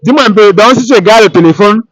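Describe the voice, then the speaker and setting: Male street interview host, higher register, halting and repetitive, dry recording. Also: no echo, rough recording.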